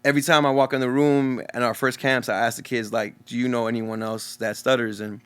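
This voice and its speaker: A man's voice: mid-range voice, little pitch movement, fairly quick, clear, resonant tone. A young man from Texas with a Texan accent who stutters openly, talking casually and earnestly as a guest DJ in an interview. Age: young adult.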